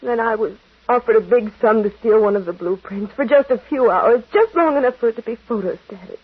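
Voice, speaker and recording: An actress playing a remorseful young engaged woman who has been caught out, pleading and halting, in 1940s American radio drama style. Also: on the high side, husky. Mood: confessing. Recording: muffled tinny vintage radio broadcast.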